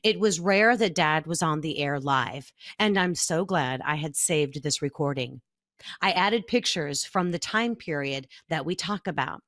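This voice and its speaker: American woman, lower voice, middle-aged, scripted narration, close dry recording. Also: lively intonation, unhurried, fairly bright tone, dry recording. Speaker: narrator, former radio broadcaster.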